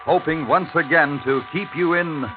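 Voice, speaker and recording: A man's voice: fairly high pitch, moderate pace. A man announcing in 1950s radio style, dramatic and emphatic. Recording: tinny old radio recording. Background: loud music.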